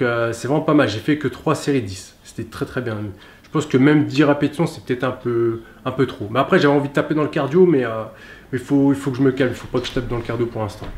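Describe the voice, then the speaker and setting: A man gives a relaxed, rambling recap of his workout in a gym, with many filler pauses. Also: mid-range voice, echoey room. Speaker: fitness vlogger. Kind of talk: casual workout vlog recap.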